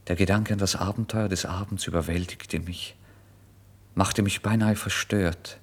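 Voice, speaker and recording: A rather low-voiced, destitute young man confesses his story in a literary radio play. He is brooding and feverish, tormented yet exalted. His intense delivery moves between hushed murmurs and loud, impassioned declamation. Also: steady tone, normal speed, slightly rough. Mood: dazed, brooding. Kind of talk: dramatic first-person literary narration in a German radio play.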